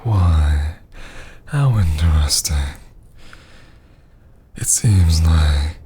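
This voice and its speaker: A haughty vampire lord of an old castle, speaks in a dark, low voice. The delivery is extremely slow and theatrical, breathy and often sinking into a whisper. It is an intimate ASMR roleplay full of archaic menace. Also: male voice, heavily acted.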